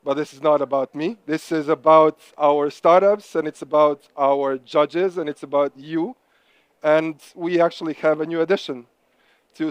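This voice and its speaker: A man's voice: a little high, smooth, no room sound. Upbeat Croatian-accented male host. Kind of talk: live event hosting.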